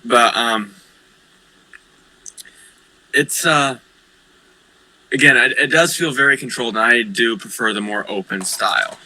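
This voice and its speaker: A man's voice: medium pitch, a little slow, long pauses, bright, forceful tone, low-quality recording. A man taking part in a tabletop game as a player, chatting casually and giving his opinions a little hesitantly. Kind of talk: casual chat.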